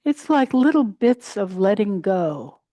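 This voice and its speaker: A woman teaching a guided meditation in a gentle, unhurried way, pausing between short phrases. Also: mid-range voice, very animated, no room sound. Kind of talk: guided meditation, body scan.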